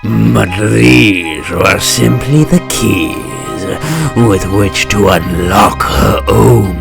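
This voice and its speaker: A man voicing a sadistic, theatrical supervillain obsessed with fear, gravelly and menacing, in a comic dub. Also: lowish voice, loud music behind the voice, exaggerated voice. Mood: sinister relish. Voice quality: gravelly, creaky. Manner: slow, menacing, theatrical, savouring words. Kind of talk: comic dub villain monologue. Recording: orchestral score behind voice.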